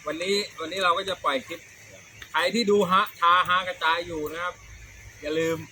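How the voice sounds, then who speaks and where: A middle-aged man hosts a comedy storytelling clip. His voice is high and bright, and he talks casually with crude slang and repeated words. Faint noise sits behind him. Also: fairly even intonation, fairly quick, low-quality recording. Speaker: host of a comedy storytelling show. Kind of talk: casual comic joke telling.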